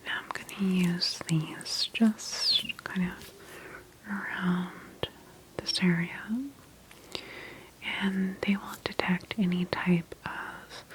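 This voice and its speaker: A woman whispering softly and gently in an ASMR roleplay as a calm, attentive doctor examining a patient, unhurried and reassuring. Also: sits low, noisy background. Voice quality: soft, breathy whisper.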